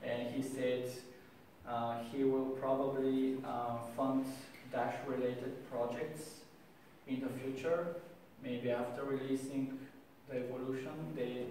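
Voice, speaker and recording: A young man giving a meetup talk to a small audience, hesitant with many pauses and restarts, recorded by a distant camera in a small room. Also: medium pitch, fairly even intonation, darkish tone, slight background noise. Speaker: meetup speaker. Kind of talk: presentation and Q&A. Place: small room with wall art and screen. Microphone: far camera, no mic. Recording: echoey room from far off.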